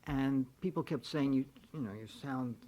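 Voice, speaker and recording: Hesitant radio host asking long questions. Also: male voice, mid-range voice, normal speed, highs cut off. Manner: hesitant questioner, laughs easily. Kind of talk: radio interview.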